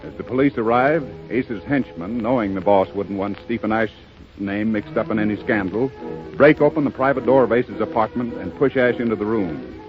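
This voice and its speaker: A man's voice: fairly low pitch, normal speed. A man giving scripted dramatic narration in the style of a 1930s radio play. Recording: muffled old radio broadcast with music. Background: music.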